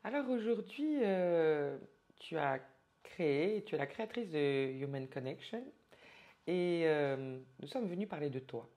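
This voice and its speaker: Woman interviewer, very low voice, lively intonation, hesitant with fillers. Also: measured pace. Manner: hesitant, many fillers, encouraging.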